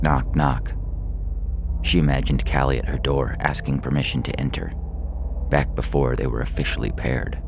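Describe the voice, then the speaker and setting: Very deep, gravelly male story narrator. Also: background noise. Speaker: narrator reading science fiction stories. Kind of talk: dramatic scripted audiobook narration. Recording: scary music behind the voice. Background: ominous music.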